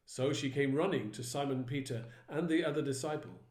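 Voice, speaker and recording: Male vicar narrating scripture, mid pitch, steady, roomy echo. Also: brisk. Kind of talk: dramatised Bible reading narration.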